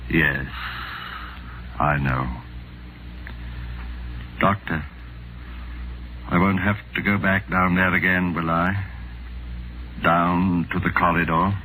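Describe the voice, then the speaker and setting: A middle-aged man playing a terrified, desperate hospital patient, with theatrical, halting delivery in a 1940s radio horror play. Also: sits low, steady tone, sharp tone, a little hiss or hum, full character voice, rough recording. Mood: fearful, pleading. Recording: vintage 1940s radio recording with music.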